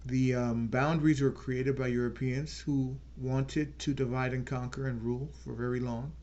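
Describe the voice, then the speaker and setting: A man hosting his own channel, giving an earnest unscripted political talk and slowing down to stress key words. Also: medium pitch, fairly even intonation, a little mellow, lo-fi sound. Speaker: channel host.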